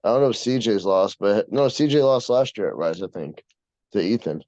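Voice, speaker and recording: A young American man co-hosting a casual podcast in a low, dark voice. He talks in a brash, slangy way and swears freely. The sound is dull and lo-fi. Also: expressive, normal speed, no echo. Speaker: podcast co-host and wrestler. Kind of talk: casual chat.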